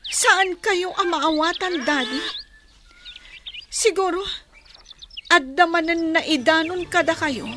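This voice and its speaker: Casting: meek, wounded young Ilocano daughter for radio drama, extremely high voice, slow plaintive delivery. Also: female voice, noisy background. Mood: pleading. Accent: Ilocano Filipino. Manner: plaintive, pleading. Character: hurt, meek young daughter longing to study. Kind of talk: Filipino radio drama acting.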